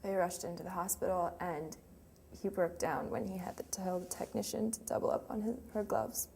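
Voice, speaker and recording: A woman's voice: lowish voice, moderate pace, darkish tone. An actress playing a grieving young woman remembering her lost sweetheart in a hesitant, emotional, confessional monologue. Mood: subdued, sad. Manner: hesitant, emotional, with trailing phrases. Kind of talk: dramatic monologue, confessional and conversational. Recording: clearly noisy room ambience.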